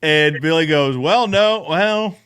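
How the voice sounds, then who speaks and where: A man's voice: fairly high pitch, takes it slowly, a bit bright, clean tone. A man hosting a recap show, chatting casually. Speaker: host of a podcast recap show.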